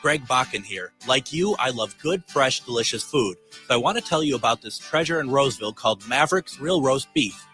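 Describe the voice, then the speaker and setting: A man's voice: mid-range voice, animated, fairly quick, hardly any pauses, music in the background. A man, hosting a radio talk show, chatting casually and reading his own ads, restarting often with "uh".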